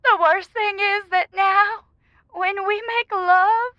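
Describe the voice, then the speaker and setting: Comedy radio caller: naive, lovelorn young woman, piercingly high, halting and distressed, slightly muffled line. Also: steady tone, no echo. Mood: plaintive, upset. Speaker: advice show caller. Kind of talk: improvised comedy phone-in.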